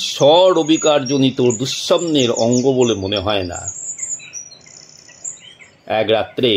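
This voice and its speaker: A man narrating a suspense story from a script in a dramatic, deliberate way, with long pauses. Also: medium pitch, slight background noise.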